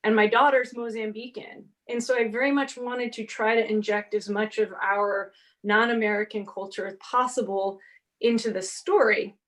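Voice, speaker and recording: A woman in her forties whose first language is Portuguese, telling an animated story on a convention panel and acting out the exchanges she quotes. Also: fairly high pitch, normal speed. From half Brazilian, grew up speaking Portuguese. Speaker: panelist author. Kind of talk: casual panel talk.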